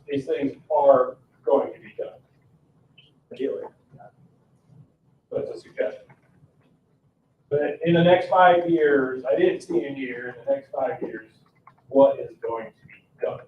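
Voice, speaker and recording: A man's voice: medium pitch, takes it slowly, long pauses. American man, tinny echoey distant sound. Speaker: resident commenting at a public meeting. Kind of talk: unscripted pointed questioning. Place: indoor public meeting room. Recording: echoey, distant, phone-like, faint noise.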